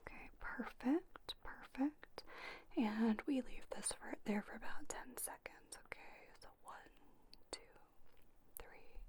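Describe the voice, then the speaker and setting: Slow, whispering woman doing ASMR instruction. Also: on the high side. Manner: gentle, instructional, step-by-step narration. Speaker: ASMR creator playing a spa owner training an esthetician.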